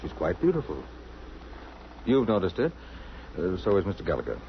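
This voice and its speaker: An actor playing a gentle, self-effacing island doctor in old-time radio drama style, courteous, soothing and a little hesitant. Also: male voice, low-pitched, wildly swooping pitch, normal speed, exaggerated voice. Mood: wry, persuasive. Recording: muffled, noisy old radio recording.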